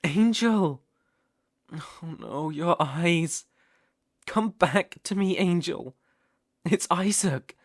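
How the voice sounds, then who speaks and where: A man acts out a possessive, lovesick stalker with a British accent in an intimate audio roleplay. His speech is slow and coaxing, with a creaky edge, often sinking toward a whisper. The sound is close and dry. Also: a little high, lively intonation, dry recording, full character voice. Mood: anxious, pleading. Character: obsessive, tender yandere stalker, softly possessive man. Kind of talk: intimate ASMR roleplay acting.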